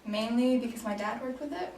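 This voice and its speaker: A woman's voice: on the high side, fairly quick, a little mellow. A woman in her late twenties, from Denmark, answering questions in a casual mock job interview, hesitant with many ums and restarts. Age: 20s. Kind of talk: casual improvised role-play interview. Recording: echoey room, mic at a distance, faint noise.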